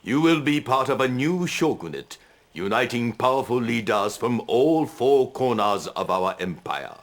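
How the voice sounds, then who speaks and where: An actor playing a stern older emperor who speaks slowly and ceremonially in a game cutscene. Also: male voice, mid-range voice, expressive. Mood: solemn. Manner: slow, grave and ceremonial. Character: stern aging male emperor, solemn warlord.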